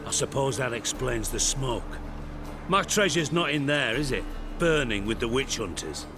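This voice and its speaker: A man playing a proud, menacing crime boss in a video game, speaking in a formal, deliberate, threatening manner. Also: medium pitch, animated, natural voice. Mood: probing, suspicious. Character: proud, menacing male crime boss owed his treasure. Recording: music behind the dialogue.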